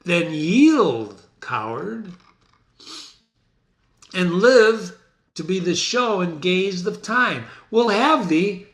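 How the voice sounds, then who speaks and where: A man's voice: sits high, a bit bright, echoey room, heavily acted. A man playing a vengeful, grieving warrior nobleman, calling out challenges in archaic verse. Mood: fierce challenge.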